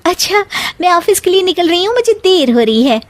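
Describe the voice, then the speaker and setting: Young cartoon daughter-in-law, played by a woman: very high voice, dry close sound, polite, sweet, quick-witted. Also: very animated, no room sound. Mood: chatty. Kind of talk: Hindi animated comedy story acting.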